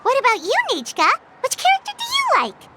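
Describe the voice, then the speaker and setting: A woman voicing a small, bubbly, talkative fairy companion in an anime game, with a childlike squeaky voice. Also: huge pitch swings, brisk, very noisy, heavily acted. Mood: enthusiastic. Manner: perky, exclaiming, refers to self in third person. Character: small floating fairy girl companion, bubbly, talkative, third-person speaker. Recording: music playing behind the voice.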